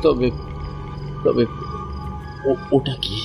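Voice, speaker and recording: A man's voice: medium pitch, steady tone, gravelly. An actor playing a terrified, insistent young city man who stammers and repeats himself in a horror audio drama. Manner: stammering, halting, repeats words. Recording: loud music over the voice.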